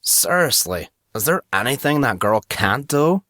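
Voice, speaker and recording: A man's voice: mid-range voice, big pitch swings, a little slow, sharp tone, dry recording, clean studio sound. A man voicing a brash, incredulous teenage schoolboy with slangy, casual talk in an audiobook. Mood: teasing, impressed.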